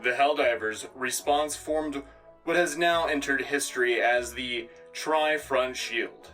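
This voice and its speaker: A man's voice: mid-range voice, bright, forceful tone, recorded from a distance, poor audio. A man playing an earnest, patriotic wartime radio anchor, delivering a solemn, declamatory scripted newscast. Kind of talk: scripted propaganda newscast narration.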